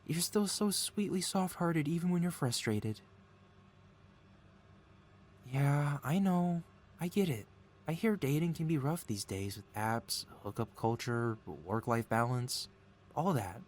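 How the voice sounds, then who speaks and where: A man playing a possessive mad scientist supervillain boss in an ASMR audio roleplay, talking in a soothing, coaxing, flattering way as if innocent. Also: a little high, a little slow. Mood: soothing, reassuring. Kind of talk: ASMR audio roleplay, intimate one-sided dialogue.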